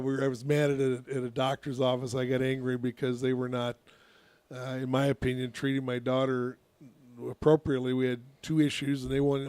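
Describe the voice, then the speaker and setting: A man, a youth softball coach, telling a long story on a casual radio talk show, with a naturally loud voice and many restarts. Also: mid-range voice, dry recording.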